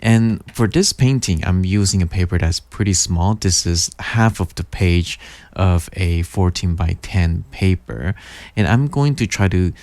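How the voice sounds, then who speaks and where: A man in his forties from Taiwan, calmly explaining a watercolor painting lesson from his home studio. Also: deep voice. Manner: calm, gentle, unhurried explaining. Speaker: watercolor teacher. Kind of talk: painting tutorial. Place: home studio with guitar and shelves.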